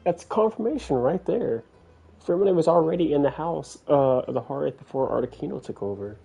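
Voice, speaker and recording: A man gives casual commentary on a game stream in a gravelly, slightly dark voice. His pitch swings widely as he reacts bluntly and with disbelief. Faint music plays underneath. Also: expressive, moderate pace. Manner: blunt, incredulous.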